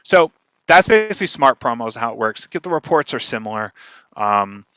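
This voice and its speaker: Guy explaining stuff in a casual how-to talk, bright forceful voice, pretty dry close sound. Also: medium pitch, very animated, moderate pace, clear, resonant tone, no room sound. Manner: explanatory, checks in with "right?". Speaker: speaker, podcast marketer giving a talk. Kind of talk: informal instructional presentation.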